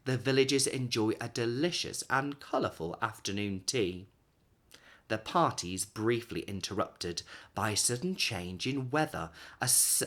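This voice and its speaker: A man in his thirties reads a children's story aloud expressively, with dramatic pauses and emphasis. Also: on the low side. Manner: animated, dramatic pauses and emphasis for young listeners.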